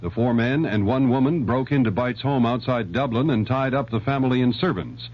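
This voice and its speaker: A man's voice: mid-range voice, brisk. A man with an American accent anchoring a scripted network radio news bulletin. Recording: dull, noisy, humming vintage radio recording.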